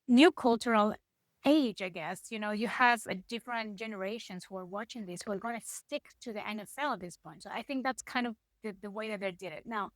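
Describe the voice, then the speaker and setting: A woman's voice: mid-range voice, a little fast, no room sound. Spanish-speaking ecuadorian woman, middle-aged, chatty guest, hunts for english words, dry mic. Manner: lively, searches for English words. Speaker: guest musician. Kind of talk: casual interview chat.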